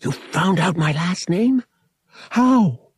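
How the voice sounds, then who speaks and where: An eager boyish space adventurer, voiced by a male narrator, pitched well up and hushed. The voice is breathy and husky, full of urgent curiosity, in an audiobook tale. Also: lively intonation, takes it slowly, no room sound, studio quality, heavily acted. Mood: excited.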